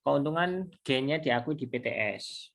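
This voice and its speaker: A man giving an informal step-by-step tutorial as a lecturer, halting and repeating himself in a conversational way. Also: mid-range voice, expressive, vocal fry, fairly bright tone. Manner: halting, repetitive, conversational, with self-posed questions. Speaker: accounting lecturer.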